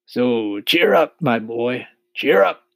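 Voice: mid-range voice, expressive, takes it slowly, creaky, a bit bright, no echo, pitched above the performer's own voice, full character voice. A performer voicing a boastful, cheeky male centipede in a storybook, bragging and teasing. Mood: jovial.

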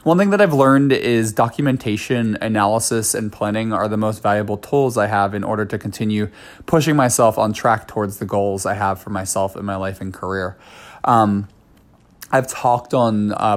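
A man's voice: lowish voice, moderate pace. Chatty man with a cold. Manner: chatty, candid, many fillers and self-asides. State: has a head cold. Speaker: podcast host. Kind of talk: casual solo podcast talk.

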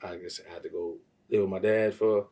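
Low, mellow man, rambling and slangy. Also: fairly even intonation, fairly quick, far from the mic. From Trinidad. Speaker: podcast guest, music producer and songwriter. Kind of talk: casual interview chat.

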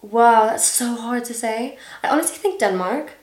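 A woman's voice: on the high side, moderate pace. A young woman from Dubai with an international school accent that is partly American, chatting casually as a podcast guest with many fillers. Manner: chatty, giggly, trailing fillers. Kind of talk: casual chat. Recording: echoey room.

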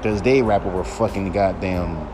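A man with a deep voice hosting a podcast, talking bluntly and profanely in a casual solo rant. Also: moderate pace, over music. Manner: blunt, profane, mocks others in high mimicking voice. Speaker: podcast host.

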